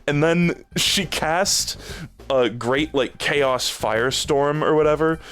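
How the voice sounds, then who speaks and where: A man's voice: fairly high pitch, slow pace, a bit bright. A man streaming a game, rambling in casual chat with plenty of swearing and fillers, a bit phlegmy in the throat. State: a little phlegmy.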